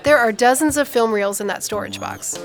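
An actress playing a down-to-earth scientist and teasing older sister in a modern audio drama, playful and probing in conversation. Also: female voice, on the high side, brisk, soft background music, natural voice. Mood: curious, knowing.

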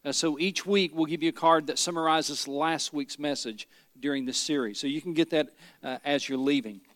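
A middle-aged pastor from Tennessee, preaching a sermon with folksy stories and rhetorical questions. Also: male voice, a little high, moderate pace, fairly bright tone, no echo, big-room sound. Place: church sanctuary.

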